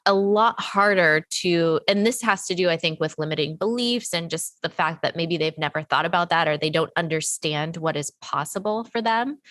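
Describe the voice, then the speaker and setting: A woman's voice: lowish voice, dry recording, clean studio sound. A woman hosting a podcast interview who has a slight cold, talking in an earnest, encouraging way with long, winding sentences. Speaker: podcast host. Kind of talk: casual interview chat.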